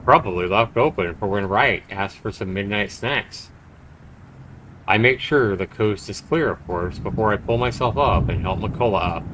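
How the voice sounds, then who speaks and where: A man's voice: fairly low pitch, moderate pace, fairly bright tone, a little hiss or hum, rough recording. A man livestreaming a horror visual novel, reading it aloud dramatically and chatting casually about it. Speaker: livestreamer playing and narrating a game.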